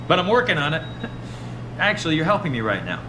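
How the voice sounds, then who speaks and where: A man's voice: on the high side, sing-song, fairly quick, echoey room, noisy background. A man playing a friendly, modest and eager graduate researcher, hesitant and chatty, in a video game. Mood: friendly, awkward. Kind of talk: naturalistic video game acting.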